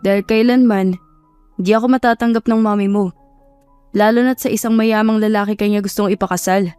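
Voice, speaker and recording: A woman's voice: medium pitch, steady tone, a little fast, a little mellow, smooth, no echo, lightly acted. An earnest, humble young man from an audio love story, acted out by a woman narrator. He speaks in a heartfelt, pleading way, hurt by his own feelings. The recording is close and dry, with faint music underneath. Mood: sad, confessing.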